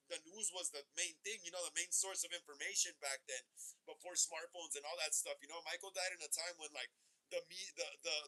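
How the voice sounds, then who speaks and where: Host, man, 30s: high, very bright voice; loose rambling live-stream chatter, full of "uh" and "bro". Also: rough recording. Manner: rambling, many fillers and restarts, calls viewers "bro". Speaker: host of a horror movie livestream. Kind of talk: casual live-stream chat, reading viewer comments.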